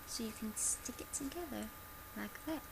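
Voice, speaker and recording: A woman in her twenties speaking softly and quietly in a gentle, instructional ASMR demonstration, recorded at home. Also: fairly high pitch, moderate pace. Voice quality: soft, quiet. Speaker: ASMR creator playing a customer service presenter. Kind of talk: soft-spoken ASMR product demonstration. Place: small bedroom, indoors. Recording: noisy amateur home webcam recording. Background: clear background noise.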